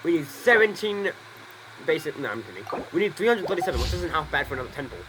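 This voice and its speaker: A man's voice: fairly high pitch, very animated, fast, a crowd in the background, poor audio. A young man giving casual gameplay commentary, rambling, joking and correcting himself as he goes. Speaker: gaming streamer.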